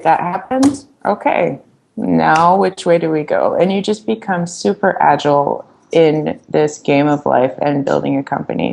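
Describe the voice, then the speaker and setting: A woman's voice: lowish voice, vocal fry, a little mellow. A woman founder raised in the US, giving upbeat, emphatic advice in a casual podcast interview. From Iran, raised in the US. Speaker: startup founder, interview guest.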